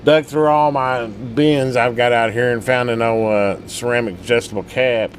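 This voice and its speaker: A man's voice: mid-range voice, normal speed. A man giving a casual, rambling workbench demonstration in a folksy, colloquial way, like a hobbyist showing a repair. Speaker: radio repair hobbyist and channel host. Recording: steady background hum and noise.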